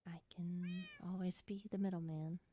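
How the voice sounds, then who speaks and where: Female roleplayer, slightly low voice, casual improvised in-character chat. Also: fairly even intonation, moderate pace, dark tone, lo-fi sound, slight background noise.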